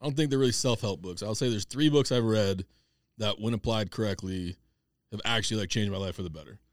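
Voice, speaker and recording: A man's voice: fairly low pitch, quick pace, dry recording, studio quality. A man chatting casually in podcast banter.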